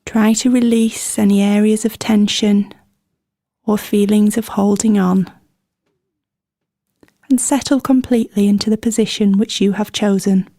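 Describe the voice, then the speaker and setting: Mellow woman guiding a meditation. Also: medium pitch, fairly even intonation, dry recording, clean studio sound. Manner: soothing, gentle, unhurried pauses between phrases. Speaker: meditation guide. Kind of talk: guided body scan meditation, scripted instruction.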